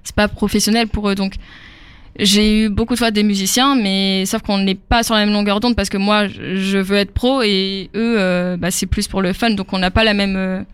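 Smooth-voiced young woman singer, halting. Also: medium pitch, steady tone, dry recording. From Africa. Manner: hesitant, many fillers, laughs easily. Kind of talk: casual interview answers.

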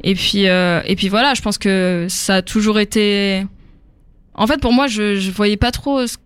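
A woman's voice: medium pitch, steady tone, a little slow, smooth, dry recording. A young woman singer from Africa, answering interview questions casually with many fillers and easy laughs.

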